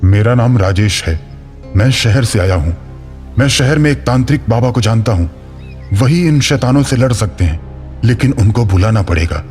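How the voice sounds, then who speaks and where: An earnest, helpful man in a cartoon village tale, played by a male actor. He has a fairly low voice over faint background music. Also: expressive, normal speed, darkish tone, natural voice. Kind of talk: cartoon story acting.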